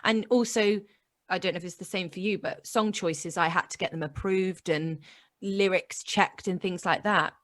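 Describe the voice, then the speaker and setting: A woman hosting a casual interview, encouraging and quick to agree. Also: on the low side, fairly even intonation. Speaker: podcast host.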